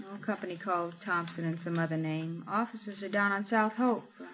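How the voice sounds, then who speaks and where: A woman's voice: fairly low pitch, fairly even intonation, brisk, natural voice. An actress playing a chatty, obliging female apartment house manager in a 1950s radio drama. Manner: chatty, obliging, a little fussy. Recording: muffled old radio broadcast.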